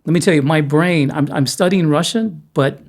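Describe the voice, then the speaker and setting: A man's voice: a little high, moderate pace, creaky. A man hosting a podcast interview, talking in a chatty, self-deprecating way with frequent restarts. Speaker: podcast host. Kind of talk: casual interview chat. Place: studio room in Moscow.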